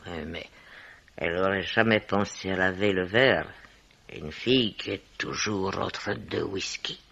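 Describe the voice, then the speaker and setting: An actress playing a sly, nosy hotel woman turned amateur detective in a radio mystery, husky and gravelly, deliberate, insinuating and dryly detached. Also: very deep voice, fairly bright tone, full character voice. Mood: sly, curious. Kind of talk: French radio mystery drama acting. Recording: dull vintage-style radio recording.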